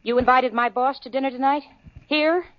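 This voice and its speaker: A woman's voice: high-pitched, fairly even intonation, moderate pace, no echo, natural voice. An actress playing a level-headed young woman in a 1940s radio sitcom, dry and exasperated. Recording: lo-fi old radio broadcast with faint hiss.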